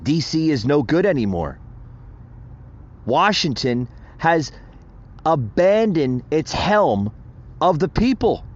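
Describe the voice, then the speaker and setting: Male podcast host, emphatic rousing delivery, slightly high voice, muffled lo-fi recording. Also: big pitch swings, slow pace, long pauses, slightly rough. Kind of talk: solo political podcast monologue.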